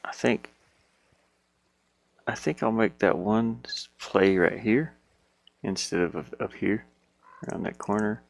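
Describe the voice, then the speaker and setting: Male game dev, lowish pitch, very unhurried, casual think-aloud in muttered fragments. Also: vocal fry. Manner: muttering to himself in short fragments. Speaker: indie game developer.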